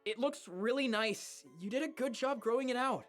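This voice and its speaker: A man's voice: very high voice, moderate pace, a bit bright, faint music behind. A man playing a friendly, upbeat teenage boy in a visual novel, easygoing and unbothered in manner. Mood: earnest, friendly.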